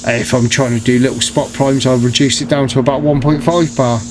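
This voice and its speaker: A man with a cold, sounding bunged up and croaky, talking casually to camera about his paint spraying work with many ums and restarts. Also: medium pitch, a little fast, noisy background. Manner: relaxed, hesitant, many fillers and restarts. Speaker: paint sprayer, channel host.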